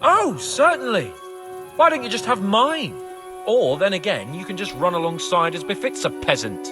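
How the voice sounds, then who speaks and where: A man's voice: high-pitched, sing-song, normal speed, music in the background. A man playing a spoiled, hot-tempered young nobleman in a video game, sneering and pompous in a theatrical way. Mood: haughty sarcasm. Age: young adult. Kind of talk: video game cutscene acting.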